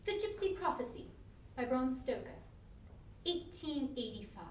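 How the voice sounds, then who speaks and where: A woman reading a story aloud dramatically, expressive, now and then stumbling over words. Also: high voice, unhurried. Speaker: volunteer story reader. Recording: roomy, distant, noisy online livestream.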